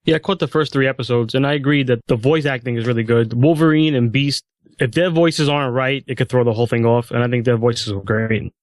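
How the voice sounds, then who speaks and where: A man's voice: medium pitch, steady tone, fast, dry recording. A man speaking casually as a panelist on a podcast. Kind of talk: casual chat.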